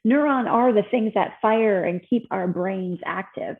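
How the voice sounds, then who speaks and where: A woman's voice: medium pitch, measured pace, vocal fry, narrow, tinny audio. A woman in her fifties with an American accent, presenting an informal health class in a conversational, explanatory way. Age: 50s. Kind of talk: informal slide lecture.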